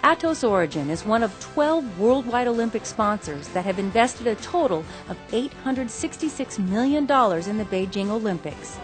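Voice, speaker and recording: A woman reporter reading scripted news narration in a clear, measured way over a music bed. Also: a little high, animated.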